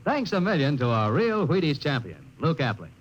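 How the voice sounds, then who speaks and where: A man's voice: on the high side, big pitch swings, fairly quick. A male radio announcer bantering jovially with a guest in a 1950s commercial. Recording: dull lo-fi vintage radio.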